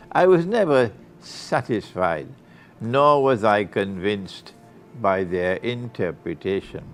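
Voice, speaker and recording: A male Islamic scholar giving a lecture, reading a student's letter aloud in an unhurried, deliberate way with occasional soft laughs. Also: medium pitch.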